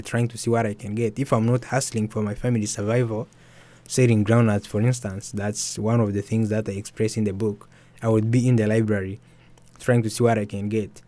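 A young man, an author and musician, telling his story softly and hesitantly in an interview. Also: lowish voice, dry recording. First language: Swahili. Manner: soft-spoken, hesitant with restarts and fillers. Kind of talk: reflective personal storytelling.

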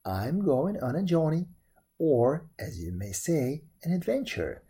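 A brave young storybook hero, voiced by a storyteller, confident and plain-spoken. The tone is cheerful and mellow, with lively movement in pitch. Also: a little slow, husky, heavily acted. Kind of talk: storybook character voice.

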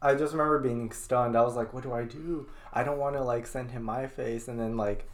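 A man's voice: medium pitch, fairly even intonation, fairly quick. A man in his twenties co-hosting a podcast, chatting casually and teasing his co-host. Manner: chatty, teasing, laughs often. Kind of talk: casual chat.

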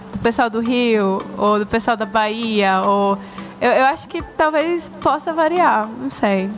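A woman hosting a casual podcast, asking questions in an easygoing, slightly hesitant way. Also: mid-range voice. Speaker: podcast host.

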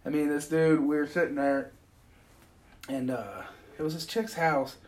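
Meandering, joking man, slightly high voice. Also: measured pace. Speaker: podcast co-host. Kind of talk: casual chat.

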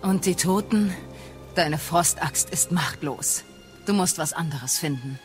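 A woman's voice: fairly low pitch, faint music behind, natural voice. A woman playing a stern, solemn goddess and healing witch in a video game audio drama, grave and commanding. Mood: grave, instructive. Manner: commanding, grave, deliberate phrasing.

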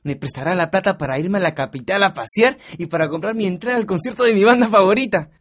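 An actor with a Peruvian accent playing a carefree, naive young mototaxi driver in a radio drama. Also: male voice, high-pitched, fast, fairly bright tone. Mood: excited, carefree. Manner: breezy, casual.

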